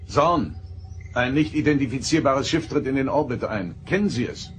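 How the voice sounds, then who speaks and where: A man's voice: medium pitch, animated, moderate pace, soft background music, natural voice. A man playing a stern, authoritative starship captain in a science fiction audio drama, giving clipped orders. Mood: commanding. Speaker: voice actor.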